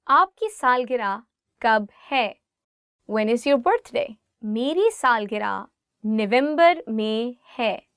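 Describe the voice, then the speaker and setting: A woman's voice: fairly high pitch, fairly bright tone, smooth, dry recording, studio quality. A woman with a Pakistani accent, a native Urdu speaker, hosting a language lesson and carefully enunciating example phrases. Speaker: language teacher and lesson host.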